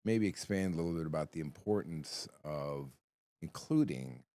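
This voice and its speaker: A man hosting an interview, asking his guest encouraging, soft-spoken questions. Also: very deep voice, expressive, normal speed. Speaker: podcast host. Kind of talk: interviewer with brief backchannels.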